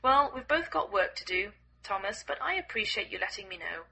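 A hoarse woman reading the lines of a brisk, slightly irritated male village vicar, barely changing her own voice. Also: high voice, very animated, sharp tone, slight background noise, heavily acted. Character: stern middle-aged male village vicar.

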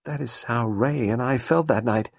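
Male reader puts on a high, faltering young woman, emotional, storybook read. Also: normal speed, gravelly, no room sound, clean studio sound. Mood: pleading. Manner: pleading, breathless, faltering.

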